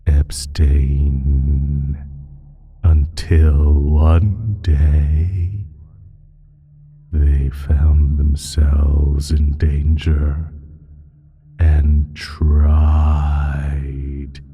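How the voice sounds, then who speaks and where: A man playing an ancient, evil male creature in an audio roleplay, speaking in a slow, teasing, sinister drawl with a husky, breathy voice that dips toward a whisper. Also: dark tone, dull audio, full character voice. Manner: drawn-out, halting, teasing delivery with low chuckles. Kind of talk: slow, theatrical audio roleplay monologue addressed to listener.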